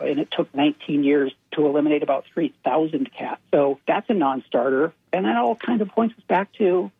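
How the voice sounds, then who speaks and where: A man's voice: on the high side, vocal fry, slightly rough, no echo. A man giving a radio interview by phone, earnest, often repeating words as he restarts. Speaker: interview guest, animal welfare analyst. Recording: tinny phone line.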